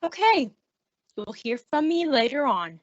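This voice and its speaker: Pushy cop guy , woman voicing him, slow high voice, dry muffled radio play sound. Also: sing-song, no room sound. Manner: blunt, pushy. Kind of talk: student radio drama acting. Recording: dry, dull lo-fi recording.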